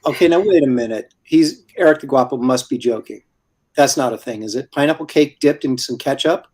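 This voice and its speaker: An older man in his sixties hosts a relaxed live stream, keeping up a chatty, easygoing talk. He trades friendly questions with his guest and reads out comments from viewers as they come in. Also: medium pitch, brisk. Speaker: host. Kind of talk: casual live-stream chat.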